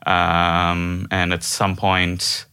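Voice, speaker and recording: A man in his thirties from India talks through his career on a podcast in a deep, slow voice. His tone carries a bright, forceful ring. He hesitates and restarts often, breaking into light laughs. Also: monotone, smooth. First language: not Norwegian; Indian language. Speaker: podcast guest, software developer. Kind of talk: casual interview chat.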